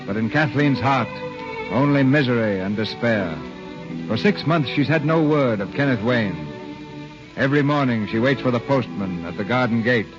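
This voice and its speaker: A man's voice: mid-range voice, lively intonation, moderate pace. A man announcing as host of a 1930s radio show, in a polished, theatrical scripted style. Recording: old muffled radio broadcast, faint music.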